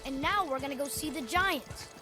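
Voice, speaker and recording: A man's voice: very high-pitched, moderate pace, over music. A young male actor playing a headstrong, cocky boy demigod in a video game, blunt and insistent. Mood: eager, curious. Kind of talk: dramatic video game acting.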